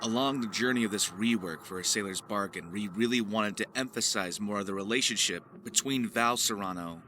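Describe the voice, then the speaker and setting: A man's voice: lowish voice, brisk, sharp tone. A man presenting his voice acting work in a scripted, theatrical narration with florid wording. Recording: faint ambient noise behind.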